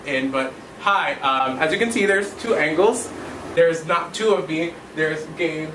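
A man's voice: a little high, a little slow. A teenage young man casually chatting as a student vlogger and interviewer. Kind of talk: casual vlog chat and interview. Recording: roomy, noisy, distant camera mic.

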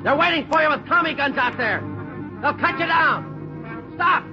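An actor playing a terse, hard-nosed police detective in an old radio crime drama, clipped and commanding. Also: male voice, very high voice, moderate pace. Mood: tense, hard. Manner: clipped, commanding, matter-of-fact. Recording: muffled old radio recording, loud music and effects.

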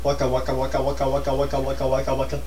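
A man's voice: mid-range voice, monotone, very fast, darkish tone. A man giving casual, joking game commentary, riffing in a silly, repetitive way. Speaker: let's play co-commentator. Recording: roomy, distant mic, faint noise.

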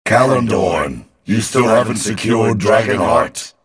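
A man voicing an imperious phantom sorcerer in a video game, raspy, pompous and coldly menacing. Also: fairly low pitch, measured pace. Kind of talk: video game villain acting.

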